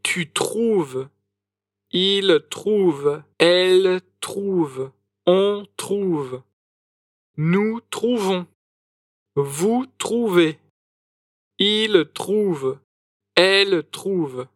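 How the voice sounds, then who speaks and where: Slow, careful woman modeling French phrases. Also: on the low side, lively intonation, no echo, clean studio sound. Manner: careful, deliberate repetition for learners.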